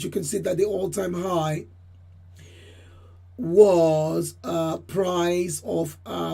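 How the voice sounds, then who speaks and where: A woman hosting a channel and giving a formal market update, her voice deeper than usual as she gets over a cold, repeating key phrases and addressing viewers as ladies and gentlemen. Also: breathy edge. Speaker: channel host giving crypto price updates. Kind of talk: solo market update talk.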